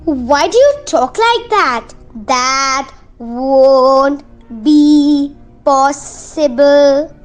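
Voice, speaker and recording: High-voiced, outspoken village mother, played by a woman. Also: drawn-out words, faint music behind. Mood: flustered, babbling. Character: Doris, outspoken, fussy, bold village mother. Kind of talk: amateur radio play acting.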